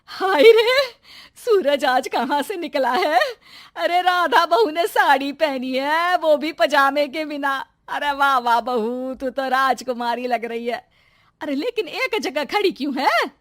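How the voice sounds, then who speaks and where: A woman's voice: high-pitched, expressive, takes it slowly, a bit bright, dry recording, clean studio sound, heavily acted. A woman voicing a dramatic, fretting, bossy middle-aged mother-in-law in a cartoon story. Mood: amazed, delighted. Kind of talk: cartoon moral-story voice acting.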